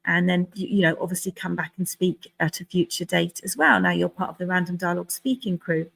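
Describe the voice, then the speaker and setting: A woman's voice: lowish voice, fairly even intonation, fairly quick, gravelly, a bit bright, slightly rough. A woman hosting a speaker evening, talking in a chatty, loose way with plenty of "um" and "kind of". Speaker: event host. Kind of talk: casual event hosting.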